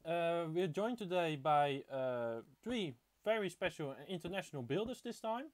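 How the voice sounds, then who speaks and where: A young man from the Netherlands hosts a casual live podcast. His voice sits a bit high, and he talks in a halting way, tripping over words as he goes. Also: animated, a little mellow. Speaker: podcast host.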